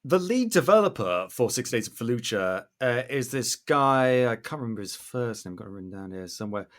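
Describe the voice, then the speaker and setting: A British man in his thirties giving animated, opinionated podcast commentary with theatrical emphasis. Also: mid-range voice, a little fast. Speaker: podcast host.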